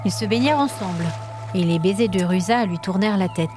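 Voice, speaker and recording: A woman storyteller reading scripted dramatic narration in an expressive, suspenseful manner. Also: mid-range voice, brisk, over music.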